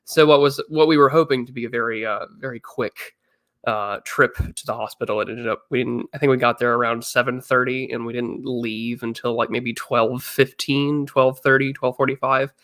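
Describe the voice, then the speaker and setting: A man's voice: medium pitch, steady tone, normal speed, a bit bright. A man in his twenties on a casual livestream, chatting and answering viewers, still a little congested. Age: 20s. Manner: chatty, reads chat messages aloud. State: slightly congested. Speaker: streamer.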